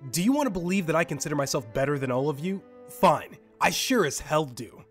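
A man's voice: on the high side, lively intonation, fairly quick, soft background music, natural voice. A man acting an arrogant, irritable survivor in an audio drama, with a sardonic, condescending manner. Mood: condescending, impatient. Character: arrogant, irritable, cynical male survivor with a ship.

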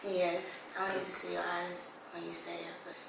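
A young woman with a raspy voice, speaking slowly and playfully as a blindfolded contestant on a blind date. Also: lowish voice, monotone, background noise. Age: young adult. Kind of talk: casual chat. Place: living room indoors. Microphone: far camera. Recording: echoey room from far off.

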